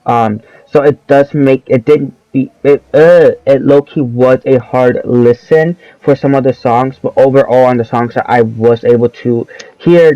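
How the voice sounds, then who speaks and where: An eighteen-year-old man reviewing albums in casual, rambling speech with slang, often correcting himself, his voice a little lowered as he comes down with a cold. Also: no echo, muffled recording. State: voice lowered from oncoming sickness. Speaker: album review channel host.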